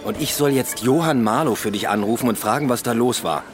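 An actor playing an evasive, grumbling police detective in a radio drama, casual and dismissive. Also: male voice, medium pitch, a little fast, over music, natural voice. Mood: irritated.

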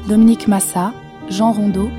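A woman's voice: medium pitch, level tone, normal speed, music in the background. A woman reading out the credits, alternating names with a second announcer.

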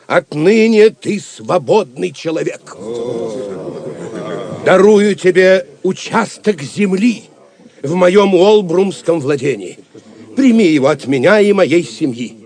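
A man's voice: high-pitched, expressive, slow, darkish tone, heavily acted. An actor playing a grateful, lordly Saxon nobleman in an audio drama, with solemn, declamatory, formal speech. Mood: solemn, proclaiming. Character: grieving, grateful, lordly Saxon nobleman, commanding yet tender. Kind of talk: Russian audio drama acting.

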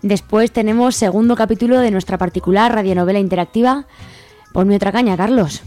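Spanish woman presenting radio, mid-pitched voice. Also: a little fast, a little mellow, soft background music. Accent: Spain Spanish. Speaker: radio host. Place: radio studio.

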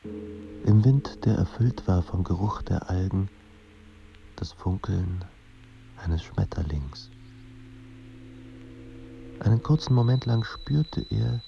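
An actor playing a somber, measured literary narrator in a German radio play. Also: male voice, fairly low pitch, creaky, dark tone. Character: somber male literary narrator of a troubled writer's life. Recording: dull sound with faint background noise.

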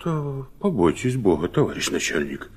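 A very rough, husky rustic militiaman with a Ukrainian accent. His pitch swings widely as he pleads slyly, in the manner of a radio drama. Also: very animated, normal speed, a little mellow. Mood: pleading. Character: sly, pleading rustic militiaman fond of moonshine.

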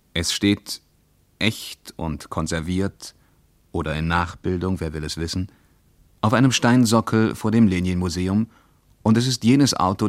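A man's voice: low voice, dry recording, studio quality, close to an everyday voice. An actor reading a diary as a pensive, sceptical male traveller in a radio play, in a solemn literary style. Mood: observing.

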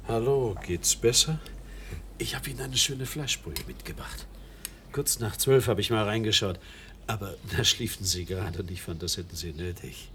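An actor playing a kindly, pious American neighbour who fusses chummily over a sick friend in a radio drama, with a gravelly voice. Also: male voice, lowish voice, moderate pace, pushed and bright, a little hiss or hum. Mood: soothing, caring. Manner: solicitous, chummy.

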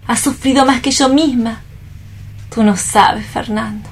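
A young woman torn by remorse in a radio play. Her voice sits a little high. She phrases deliberately and earnestly, with melodramatic anguish and a self-sacrificing temper. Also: fairly even intonation, breathy edge. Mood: sorrowful. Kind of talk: staged radio theatre reading.

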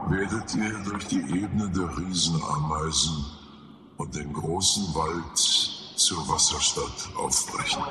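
An actor playing a solemn, commanding guide of a magical game world in a radio drama, proclaiming his words slowly and gravely. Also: sits low, reverberant, heavy background noise.

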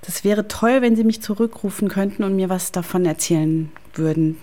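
A woman in her forties narrates in the first person for a radio documentary. Her voice sits at a medium pitch, and she speaks as the author of her own scripted story. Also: moderate pace, dark tone. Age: 40s.

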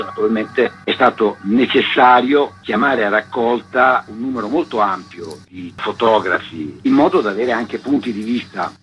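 A man's voice: lowish voice, fairly bright tone, highs cut off. A man interviewed on the radio as an exhibition coordinator, giving long, articulate explanations.

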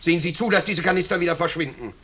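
An actor playing a pompous, blustering but cowardly middle-aged husband and house owner in 1950s radio drama style. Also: male voice, a little high, rapid-fire, close to an everyday voice. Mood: indignant. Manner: indignant, self-important, emphatic. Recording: noisy vintage 1950s radio recording.

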